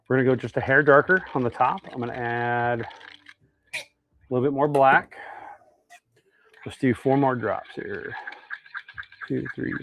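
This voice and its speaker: A man's voice: medium pitch, creaky, a little hiss or hum, rough recording. An American man hosting a casual live demonstration, talking to viewers in a folksy, easygoing way and thinking aloud as he works. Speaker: host of a fishing lure making livestream.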